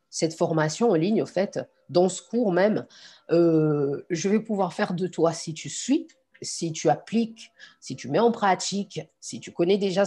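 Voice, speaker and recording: A woman's voice: sits low, mellow, husky. A woman from Congo and France giving an informal online lesson as a coach, speaking directly and encouragingly to her students. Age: adult. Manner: encouraging, addresses the learner directly. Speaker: online course instructor and coach.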